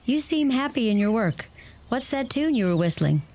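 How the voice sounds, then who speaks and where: An actress playing a young American visitor in a radio play, polite, sympathetic and curious. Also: female voice, fairly high pitch, very animated, moderate pace, darkish tone, a little hiss or hum. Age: young adult. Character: gentle, curious young American woman visiting rural Ireland.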